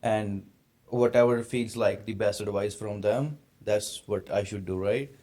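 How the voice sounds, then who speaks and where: Earnest low-voiced podcast host. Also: male voice, steady tone, measured pace, warm tone. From India. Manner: earnest, searching phrasing, non-native grammar. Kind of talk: casual interview conversation.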